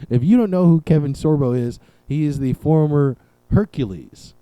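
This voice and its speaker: A young man talks by himself on his podcast, his voice very dark and mellow. He rambles through sarcastic jokes and swears freely. The recording is dry and close, with no room echo. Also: fairly high pitch, normal speed, clean tone. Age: young adult. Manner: sarcastic, rambling, profane riffing. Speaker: podcast host. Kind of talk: solo comedic podcast rant. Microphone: camera and podcast mic.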